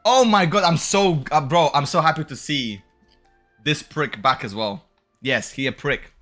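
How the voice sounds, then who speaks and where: A man with a bright, forward voice streams a video game with music playing behind him. He talks in a casual, slangy way, excitable and chatty as he comments on the playthrough. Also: on the high side, measured pace. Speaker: gaming streamer.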